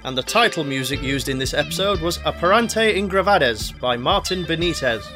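Male announcer, fairly high-pitched, scripted outro read over clear guitar backing. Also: lively intonation, normal speed. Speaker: announcer reading closing credits. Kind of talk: scripted narration. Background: guitar music.

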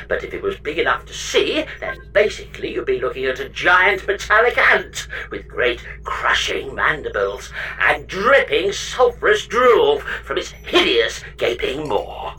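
Woman as a wildly animated, theatrical spaceship computer. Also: low voice, recorded from a distance, exaggerated voice, rough recording. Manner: theatrical, breezy, exaggerated. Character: chatty, scatterbrained, possessive spaceship computer, cheerfully evasive. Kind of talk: comedy sci-fi audio drama acting.

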